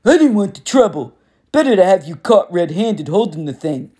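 A woman's voice: fairly low pitch, very animated, normal speed, mellow, husky. A woman voicing a smug, menacing adult male god of war who taunts and boasts, in an audiobook reading. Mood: taunting. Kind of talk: audiobook character voice.

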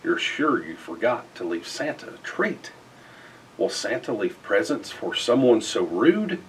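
A middle-aged man reads a rhyming children's picture book aloud in an expressive, theatrical way with emphatic phrasing. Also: fairly low pitch, a little slow, recorded from a distance, a little hiss or hum, low-quality recording. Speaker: storybook reader. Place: indoors in front of a festive backdrop.

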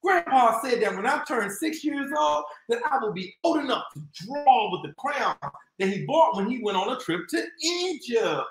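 Man voicing an eager, high-pitched little girl. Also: sing-song, moderate pace, exaggerated voice. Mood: excited, eager. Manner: pleading, exclamatory, sing-song. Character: excited, eager six-year-old girl in a storybook. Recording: roomy indoor echo.

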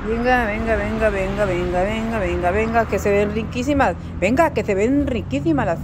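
A woman's voice: mid-range voice, moderate pace. A woman with a Mexican Spanish accent chatting playfully and saltily with friends as a casual vlogger. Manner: playful, salty slang. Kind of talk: casual vlog chat with friends. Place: outdoor covered restaurant patio by a street. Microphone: handheld phone. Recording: outdoor phone recording with traffic noise.

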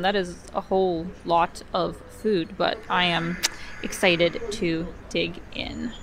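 A woman's voice: fairly low pitch, a bit bright. A young woman, a native Russian speaker, chatting candidly into a handheld camera at an outdoor cafe, with frequent laughs. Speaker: travel vlogger. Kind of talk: casual travel vlog talk. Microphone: camera held at arm's length.

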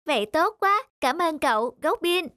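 A woman voicing a gentle, polite, grateful hedgehog in a children's cartoon. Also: extremely high pitch, sing-song, normal speed.

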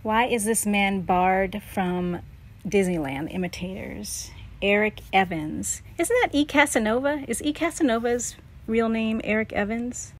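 A middle-aged woman thinking aloud in a halting, casual way as she comments on a scrapbook for a vlog. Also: mid-range voice. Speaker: vlogger, memoir author.

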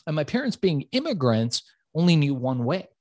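A man from Iran, whose first language is Farsi, telling his life story as an interview guest in a swaggering, profane, animated way. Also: a little high, moderate pace, no echo. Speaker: entrepreneur guest.

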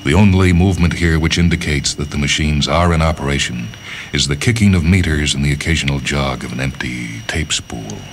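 Very deep-voiced man reading a story. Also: fairly quick, slight background noise. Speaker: story reader and narrator. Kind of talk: scripted narration of a literary short story.

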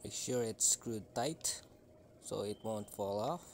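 A man's voice: lowish voice, slow pace. Man giving tutorial steps, noisy recording. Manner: instructing in short, simple steps. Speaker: tech tutorial host. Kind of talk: casual step-by-step instructional narration. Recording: clearly noisy room ambience.

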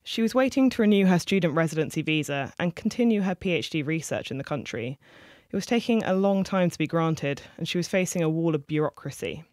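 A reporter giving scripted news narration with a clear, even delivery. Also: mid-range voice, brisk, no echo, clean studio sound.

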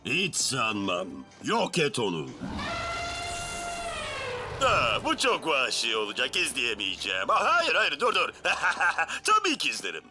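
A man's voice: medium pitch, wildly swooping pitch, unhurried. A man voicing a vengeful, petulant and theatrical villain in a dubbed cartoon, melodramatic in delivery. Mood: mock horror. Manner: melodramatic, swooping. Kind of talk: animated cartoon dub acting. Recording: music behind.

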